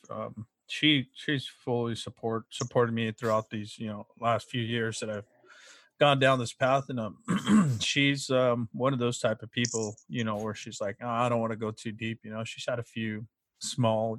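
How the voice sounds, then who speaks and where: Halting man clearing his throat. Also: medium pitch, fairly even intonation, fairly bright tone, dry recording. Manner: halting, restarts often, frequent throat clearing. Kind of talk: casual chat.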